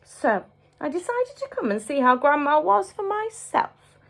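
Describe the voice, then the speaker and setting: Lady doing a protective no-fuss storybook mum, highish and animated. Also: measured pace, creaky, heavily acted. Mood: thoughtful. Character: decisive, protective mum in a fairy tale.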